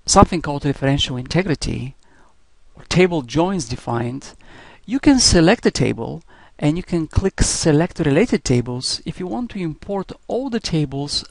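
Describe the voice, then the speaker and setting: Male software instructor, creaky mid-pitched voice, step-by-step tutorial narration, dry close recording. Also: no room sound. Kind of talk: scripted screencast tutorial narration.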